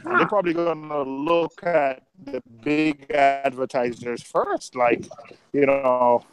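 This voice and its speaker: A man's voice: medium pitch, slow pace, music in the background, rough recording. A man from New York, hosting a casual live chat and rambling with lots of fillers. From New York, USA. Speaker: live stream host. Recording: clicking noise in background.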